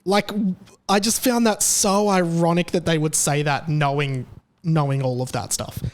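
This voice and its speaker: A man's voice: a little high, fairly even intonation, moderate pace. A young Australian man hosting a casual commentary show, chatty and sarcastic. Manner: chatty and sarcastic, laughing at his own jokes. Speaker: podcast host.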